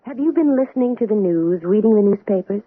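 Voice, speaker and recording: An actress playing a calm, matter-of-fact city health officer in a radio drama. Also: female voice, medium pitch, fast talker, no echo, close to an everyday voice. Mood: businesslike. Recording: tinny, dry old radio broadcast.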